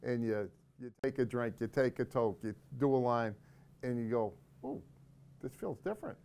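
A man's voice: medium pitch, lively intonation, moderate pace, gravelly, warm tone, clear, resonant tone. A middle-aged man, a corrections officer and podcast guest, explaining things thoughtfully in casual conversation, often restarting his sentences.

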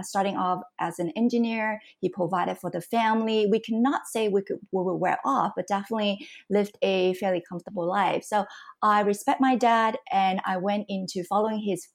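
A woman's voice: medium pitch, gravelly. A middle-aged woman who grew up in Canada, speaking as a podcast guest. She tells her life story in an earnest, conversational way, now and then restarting a phrase. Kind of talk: podcast interview.

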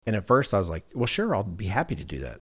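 A man's voice: lowish voice, very animated, fast, breathy edge. A man hosting a podcast in casual conversation, telling anecdotes in a self-deprecating way. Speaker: podcast host.

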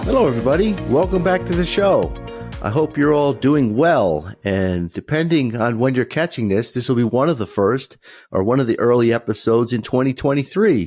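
A man's voice: mid-range voice, darkish tone, dry recording, clean studio sound. A man hosting a podcast, chatting casually and welcoming his guest in a friendly, upbeat way. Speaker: podcast host.

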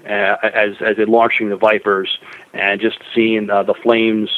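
A man co-hosting a casual science fiction podcast, speaking in a hesitant, halting way on a dull, lo-fi recording. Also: lowish voice, flat delivery. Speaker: podcast co-host. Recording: dull, lo-fi voice channel.

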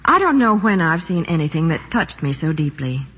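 A woman's voice: deep voice, big pitch swings, moderate pace, a bit bright, husky. A woman reporter doing scripted, gushing promotional chat on 1940s radio. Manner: gushing, enthusiastic. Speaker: Hollywood reporter. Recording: muffled, reverberant 1940s radio broadcast.

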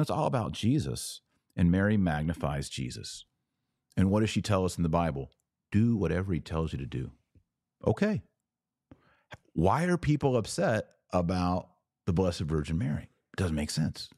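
A man's voice: deep voice, a little mellow, no room sound, clean studio sound. A man hosting a podcast, talking casually about faith and answering viewers, explaining earnestly in a conversational way with rhetorical questions. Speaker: podcast host. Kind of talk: casual religious talk answering viewer comments.

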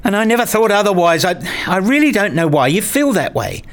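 A man's voice: high-pitched, big pitch swings, a little fast. A man playing a weary, exasperated husband and father in a radio play, narrating and reasoning in a placating way. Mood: defensive, tired. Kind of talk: radio play dialogue and first-person narration.

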